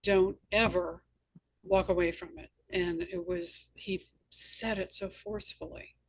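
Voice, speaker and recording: A woman's voice: on the low side, steady tone, unhurried, husky, rough recording. A woman hosting an interview, telling anecdotes in an earnest, emphatic conversational way. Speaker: host and novelist.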